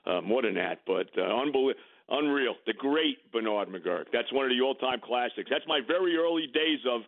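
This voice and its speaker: A man's voice: medium pitch, expressive, moderate pace, creaky, dry recording. A male radio host reminiscing on a call, rambling with lots of fillers. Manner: rambling with many fillers and restarts. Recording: tinny phone line.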